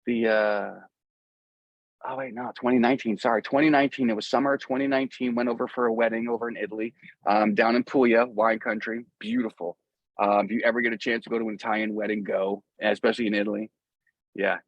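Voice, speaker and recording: A man's voice: on the low side, fairly even intonation, quick pace, no room sound, dull audio. A man chatting casually as a musician being interviewed, in an earnest, rambling, slangy way.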